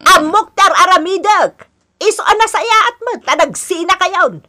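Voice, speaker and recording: A woman's voice: extremely high pitch, measured pace, sharp tone, full character voice. An actress with an Ilocano accent playing a domineering, quarrelsome mother-in-law who scolds in an exaggerated radio-drama style. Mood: scolding, insistent. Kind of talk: Ilocano comic-melodrama radio acting.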